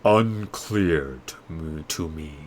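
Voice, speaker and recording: Man voicing a slow, ominous magic mirror. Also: very deep voice, slight background noise, pitched below the performer's own voice. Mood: solemn, ominous. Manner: slow, drawn-out, ominous pronouncements. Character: solemn magic dark mirror pronouncing judgement.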